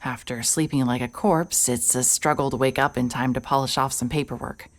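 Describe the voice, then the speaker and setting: A woman's voice: extremely low pitch, fairly even intonation, fairly quick. An actress playing a hard-hearted, sardonic woman private detective in an audio drama, dry and pressing. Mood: weary, wry. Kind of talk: cinematic audio drama acting with first-person noir narration.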